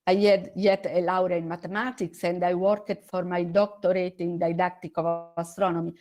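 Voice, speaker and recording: A woman from Italy with an Italian accent, giving a lecture over a video call in halting, non-native English with many pauses. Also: on the low side, little pitch movement, a little mellow. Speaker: guest lecturer. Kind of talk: online conference presentation.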